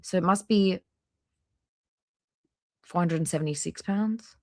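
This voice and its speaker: A woman's voice: lowish voice, a little slow, mellow, dry recording, studio quality. A woman hosting a casual reaction livestream, reading aloud in an informal way with offhand asides. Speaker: livestream host.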